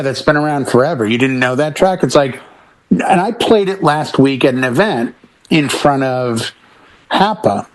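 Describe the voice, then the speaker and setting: An elderly man with a mid-range voice chats casually among fellow panelists. He tells anecdotes in an animated way, slipping into quoted exchanges as he goes. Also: mid-range voice, poor audio. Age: 80s. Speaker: DJ and panel member.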